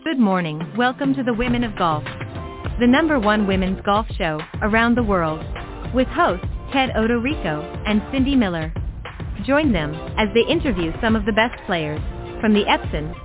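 A woman announcer reading a scripted radio show introduction in a polished, even delivery. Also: fairly high pitch. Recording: phone-like lo-fi audio over clear music.

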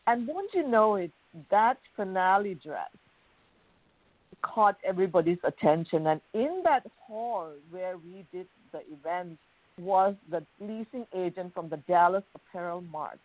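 A woman's voice: on the low side, expressive, a little slow, no echo. A woman from Thailand, a guest on a radio interview, telling her story in a chatty, enthusiastic way. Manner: chatty, enthusiastic, frequent "you know". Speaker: guest, bridal designer. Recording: phone-like narrow band.

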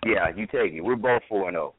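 Laid-back low-voiced man on a phone line. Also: a little fast, dry recording. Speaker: show regular. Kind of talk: casual sports banter. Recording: dry tinny phone-like line.

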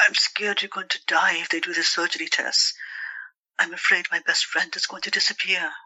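A woman's voice: on the low side, steady tone, moderate pace, bright, forceful tone, husky, no echo, exaggerated voice, low-quality recording. A woman playing a protective, skeptical, worried sister in an audio drama, reasoning and pleading.

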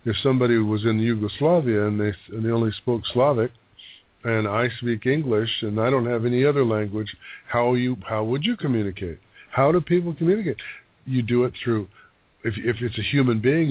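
A man's voice: mid-range voice, no room sound. A man working as a psychic medium on a call-in radio show, talking loosely with restarts and little jokes. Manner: rambling, restarts, jokey. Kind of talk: casual radio talk and readings. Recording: dry, phone-like radio audio.

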